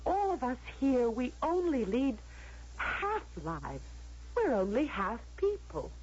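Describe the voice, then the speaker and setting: A woman's voice: high-pitched, very animated, slow, a little mellow, a little hiss or hum, heavily acted. An actress with an American accent playing a yearning, earnest young woman in a theatrical, questioning radio drama style. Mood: anguished. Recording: muffled, lo-fi old radio broadcast.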